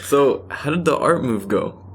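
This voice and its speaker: A man's voice: mid-range voice, lively intonation, unhurried, slight background noise, close to an everyday voice. A man acting in a radio play as an easygoing, gossipy housemate, talking casually and matter-of-factly in natural dialogue. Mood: casual, dry.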